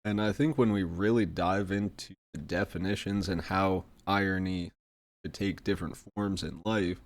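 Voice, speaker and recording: A man with a hoarse throat, getting over an illness, hosting a solo podcast in a reflective, rambling way. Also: sits low. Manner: reflective, rambling, many fillers. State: hoarse, recovering from a sore throat. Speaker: podcast host. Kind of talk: casual solo podcast talk.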